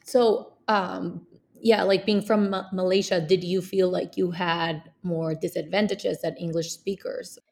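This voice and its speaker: A woman's voice: on the low side, fairly even intonation, measured pace, creaky, darkish tone. A woman interviewing a guest in a casual, chatty way with many fillers. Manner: casual, uses filler like and you know.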